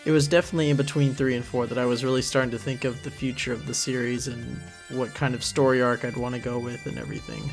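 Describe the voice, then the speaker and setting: Halting, casual man giving a commentary. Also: mid-range voice, little pitch movement, gravelly. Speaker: series creator giving a commentary. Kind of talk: casual off-the-cuff commentary track. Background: cartoon episode audio and music playing underneath.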